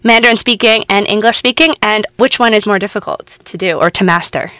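A woman hosting an interview, asking curious, measured questions. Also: medium pitch, bright, forceful tone, no echo. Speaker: interview host.

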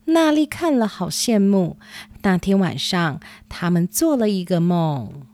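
A woman's voice: lowish voice, very animated, a little slow, darkish tone. A woman narrating a scripted children's fairy tale as the storyteller of an audio show. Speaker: storyteller, podcast host. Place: dry home recording over music.

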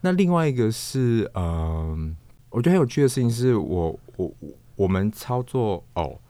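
Male interview guest, Taiwanese accent: very low register, reflective and hesitant, often repeating words, close dry sound. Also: very animated, mellow, dry recording. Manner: halting, thoughtful, searches for words and repeats himself. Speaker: guest, theatre performer, puppeteer and director.

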